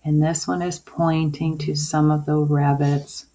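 A woman teacher giving a video lesson to young children in simple, deliberate phrases. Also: deep voice, level tone, mellow. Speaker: early-years school teacher. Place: indoors at a table, homemade video. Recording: dull lo-fi sound, clear noise.

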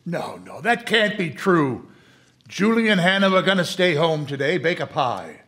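Haunted bereaved husband played by a male actor, terse and insistent, higher-pitched voice, radio drama. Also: big pitch swings, normal speed, fairly bright tone. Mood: alarmed disbelief. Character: grief-broken, haunted working man, quiet but menacing. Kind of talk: live audio drama acting.

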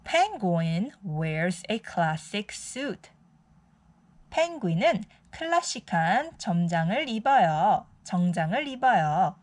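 A woman's voice: medium pitch, very animated, racing. A woman reading a picture book aloud for young children as a storytime host.

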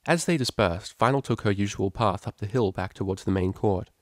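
A man with a fairly low voice narrates a written story. The recording is dry, with little room sound. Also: a little fast, no echo. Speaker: audiobook narrator.

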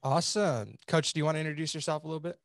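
Woman, low-pitched, interview host, encouraging, polite, dry video call audio. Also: racing, dry recording. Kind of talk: casual hosted group video interview. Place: indoors on a group video call. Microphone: video call microphone.

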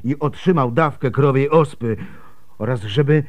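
A man's voice: medium pitch, moderate pace, close to an everyday voice. An actor playing an earnest, pious, determined middle-aged man marked by grief, in a radio drama. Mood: intense, urgent.

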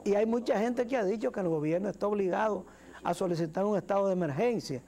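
A man's voice: sits high, fast, vocal fry, dark tone. A man in his forties with a Dominican accent, co-hosting a studio talk show with earnest commentary. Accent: Dominican Spanish. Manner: earnest, gestures while speaking, repeats words. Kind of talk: political commentary. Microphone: lapel mic.

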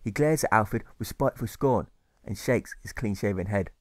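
A man's voice: on the low side, no echo. Man reading rhyming audiobook, dry sound. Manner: measured, rhythmic, stressing rhymes. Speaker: author reading his audiobook. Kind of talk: rhyming scripted narration.

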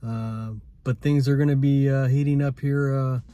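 A man's voice: medium pitch, steady tone, moderate pace, lo-fi sound. Laid-back man with many filler uhs. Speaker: fishing vlogger. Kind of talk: casual fishing vlog. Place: outdoors at a riverbank, later in a parked car.

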